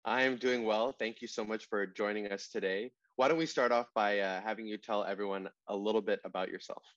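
Low-voiced male interviewer, lo-fi sound. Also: fairly even intonation, brisk, fairly bright tone, no room sound. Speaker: podcast interviewer. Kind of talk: interview questions. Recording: dull lo-fi sound.

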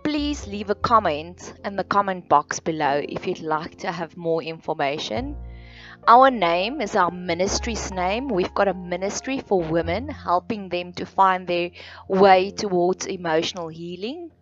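A woman from South Africa with an Afrikaans accent, hosting a solo podcast in a slow, earnest, deliberate way with many pauses. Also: fairly low pitch, expressive. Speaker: podcast host. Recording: dull lo-fi sound with faint music.

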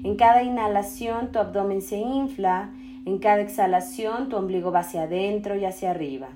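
A woman leading a guided meditation in a soothing, instructional manner with unhurried phrasing. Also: mid-range voice, noisy background. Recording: roomy echo with loud singing bowls. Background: singing bowls, meditation music.

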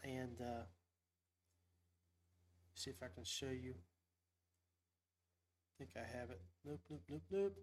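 A man's voice: mid-range voice, animated, slow, long pauses, slight background noise. A man hosting a casual fishing livestream, talking in a rambling, folksy, upbeat way. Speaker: podcast host.

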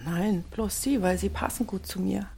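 An actress playing a wistful but stubborn wife in couples therapy, in a naturalistic German audio drama. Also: female voice, medium pitch, normal speed, vocal fry, mellow, breathy edge. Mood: tender, hopeful.

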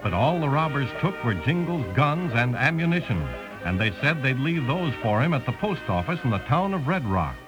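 Lively male announcer, scripted old-time radio narration, vintage recording, music behind. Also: medium pitch, normal speed, hardly any pauses, poor audio.

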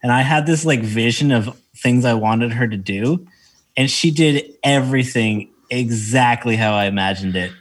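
A man chats casually as a podcast co-host, modest and a little halting. His voice has a middle pitch. The sound is dry and close to the mic. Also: no echo. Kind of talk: casual chat.

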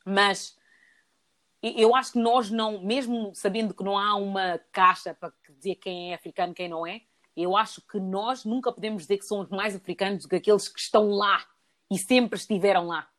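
A woman's voice: mid-range voice, fairly even intonation, brisk, fairly bright tone. A young adult woman in casual conversation, earnest and reflective, sometimes hesitating as she begins a thought. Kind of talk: casual chat.

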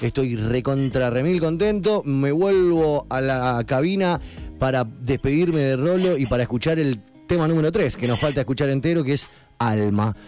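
A man's voice: mid-range voice, expressive, normal speed, darkish tone. Effusive Argentine male radio host, music behind. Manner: effusive, informal, with emphatic repetition. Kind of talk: conversational radio interview. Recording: music in the background.

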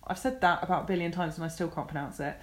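A woman's voice: lowish voice, steady tone, rapid-fire. A woman co-hosting a podcast, chatting casually and easily amazed by what she reads out. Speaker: podcast co-host. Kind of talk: casual chat.

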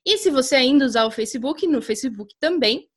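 A woman's voice: sits high, animated, fairly quick, fairly bright tone, breathy edge. A woman with a Brazilian accent hosting a casual chat podcast in an informal, playful, teasing way. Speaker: podcast host.

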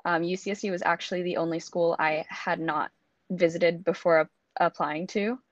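A woman in her twenties from Singapore, a student guest talking casually in an interview, relaxed and laughing softly between thoughts. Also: lowish voice, moderate pace, no echo, dull audio. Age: 20s. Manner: relaxed, with frequent fillers and soft laughs.

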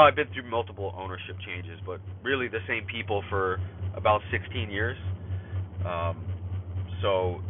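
Podcast host, 30s man, stuffy with a cold, low voice, rambling casual journal from his car, tinny phone-like sound, faint road noise. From California. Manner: rambling, many fillers and restarts. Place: in a car while driving.

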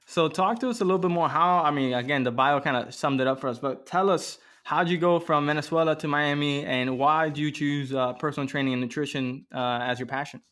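Podcast host, man with a slightly raised pitch, easygoing interviewer. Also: fairly quick, hardly any pauses. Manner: reads intro bio, then relaxed and friendly. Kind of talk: casual interview hosting.

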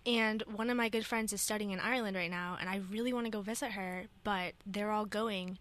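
A young woman co-hosting a college radio show, chatting casually and telling animated stories with laughs and acted-out quotes from a radio studio. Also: medium pitch, brisk. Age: young adult. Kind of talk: casual chat.

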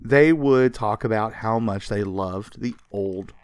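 A man's voice: fairly low pitch, slow pace, darkish tone, smooth, no room sound, studio quality. A man hosting a podcast, telling history in a casual, colloquial and explanatory way. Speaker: podcast host.

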